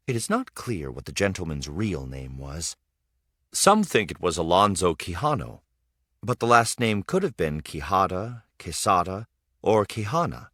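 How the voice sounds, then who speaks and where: A man reading a children's story aloud as scripted narration, with expressive, dramatic storytelling emphasis. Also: deep voice, measured pace, no echo, studio quality. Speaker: storybook reader.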